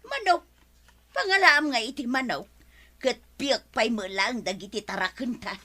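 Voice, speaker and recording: A woman's voice: on the high side, lively intonation, measured pace, heavily acted. A woman with an Ilocano Filipino accent playing a nagging, complaining village wife in a radio comedy drama. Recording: loud music bed behind the dialogue. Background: music.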